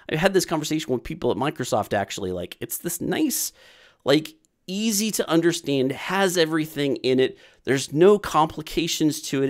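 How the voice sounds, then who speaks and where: Rambling man hosting a livestream. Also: on the high side. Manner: rambling, repeats himself with many fillers. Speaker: programming livestream host. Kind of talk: casual livestream Q&A.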